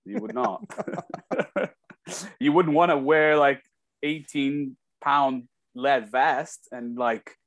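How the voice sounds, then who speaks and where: A man's voice: a little high, extremely slow, vocal fry. A man from Kazakhstan chatting casually as an interview guest, telling anecdotes and acting out bits of dialogue as he goes. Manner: animated retelling with acted-out dialogue. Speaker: interview guest, former hockey player.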